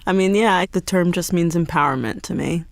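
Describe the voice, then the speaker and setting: Creaky, lowish-voiced woman, dry sound. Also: a little fast, no room sound. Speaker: panel guest. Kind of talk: casual conversation.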